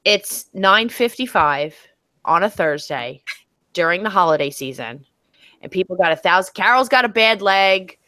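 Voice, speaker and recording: A woman teacher with a Long Island accent speaks in a bright, forceful voice. She rambles enthusiastically with plenty of fillers, and the sound is dry and close, as on a video call from home. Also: on the low side, animated, normal speed, no room sound. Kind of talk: casual video call chat.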